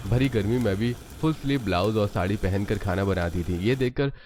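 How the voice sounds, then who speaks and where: A man's voice: on the low side, moderate pace, noisy background. A man narrating a scripted cartoon family story.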